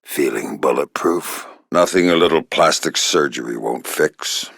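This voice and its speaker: An actor playing a gruff, wry, middle-aged covert agent in a video game, speaking tersely in a gravelly, often breathy growl with deadpan sarcasm. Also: male voice, rumbling low, measured pace, dry recording, studio quality, exaggerated voice. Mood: low, deadpan.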